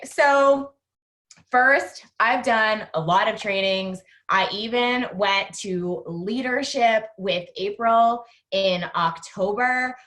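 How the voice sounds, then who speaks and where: A woman co-hosting a team video call, talking in a frank, animated, emphatic way. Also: medium pitch. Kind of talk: casual motivational team talk.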